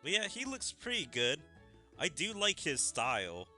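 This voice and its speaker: A man's voice: a little high, wildly swooping pitch, unhurried, sharp tone, soft background music. A man giving casual, rambling commentary as a gaming streamer, with opinionated asides.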